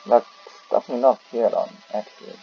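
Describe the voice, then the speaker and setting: A man giving casual, halting gameplay commentary, muttering to himself, on a muffled mic with faint noise. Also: mid-range voice, vocal fry, faint music behind. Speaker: gaming video host.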